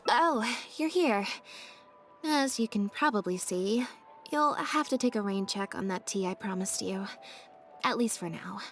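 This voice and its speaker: A woman's voice: medium pitch, a little slow, lightly acted. An actress playing a shrewd, observant woman with a composed, articulate manner in a video game. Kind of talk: English game dub dialogue.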